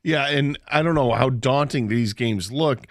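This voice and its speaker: A man co-hosting sports radio, talking in a measured, analytical way with fillers. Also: mid-range voice, dry recording, studio quality. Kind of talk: casual sports talk. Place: radio studio.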